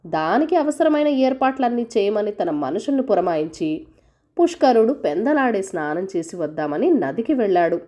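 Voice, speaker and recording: A woman's voice: mid-range voice, animated, normal speed, dark tone. A woman narrating a scripted folk tale for children as a storyteller.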